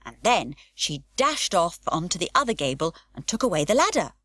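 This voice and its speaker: Lively woman reading a storybook. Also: lowish voice, moderate pace, dry recording. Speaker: audiobook narrator. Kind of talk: scripted storybook narration.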